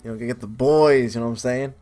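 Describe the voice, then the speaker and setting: A man's voice: medium pitch, steady tone, fast talker, dark tone. Casual male gamer over faint music. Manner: joking, informal asides. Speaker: let's play YouTuber. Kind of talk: casual gaming commentary, reading game narration aloud. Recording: faint game music behind.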